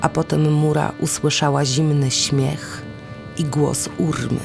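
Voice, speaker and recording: A woman storyteller narrating a folk tale dramatically, deliberately, with suspenseful pauses. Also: sits low, steady tone, vocal fry. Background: music.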